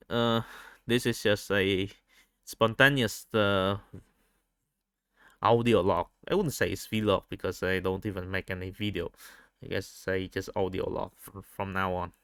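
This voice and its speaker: A man with a Vietnamese accent recording a spontaneous, unscripted audio log, speaking haltingly and often rephrasing himself. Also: deep voice, steady tone, fairly bright tone, smooth, no room sound, studio quality. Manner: halting, repeats and rephrases phrases.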